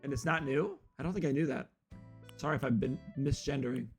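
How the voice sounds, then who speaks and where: A young man with a loud, slightly hoarse voice, rambling casually to his viewers as a live streamer while he plays a game. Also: medium pitch, fairly quick. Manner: loud, rambling, talks straight to chat. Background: faint guitar music.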